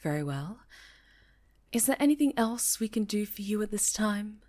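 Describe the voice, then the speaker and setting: Woman playing a stern, formal high enchantress. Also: very animated, normal speed, darkish tone. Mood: solemn. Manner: formal, grave, disapproving. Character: stern, cautious high enchantress, mentor witch. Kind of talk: fantasy ASMR roleplay acting.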